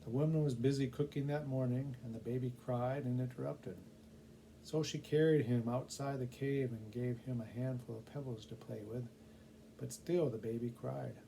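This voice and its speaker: Mellow-voiced man reading stories. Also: mid-range voice, fairly even intonation, moderate pace, creaky, a little hiss or hum, rough recording. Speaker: volunteer story reader. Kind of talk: casual chat and storybook narration.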